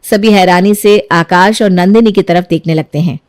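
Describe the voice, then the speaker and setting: A woman's voice: on the low side, moderate pace, darkish tone, no room sound, clean studio sound. A woman narrating a scripted moral story as its storyteller.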